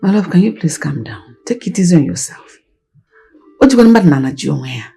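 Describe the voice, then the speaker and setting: An actress playing a patient, pleading middle-aged queen in a film drama, soothing and reasoning in a husky voice. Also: low-pitched, big pitch swings, fairly quick, warm tone, soft background music, exaggerated voice.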